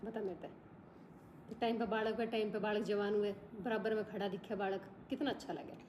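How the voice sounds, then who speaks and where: A woman's voice: mid-range voice, steady tone, brisk, darkish tone, slight background noise. A woman, insistently urging her son in homely family chat. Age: 50s. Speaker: vlogger's mother. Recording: echoey room.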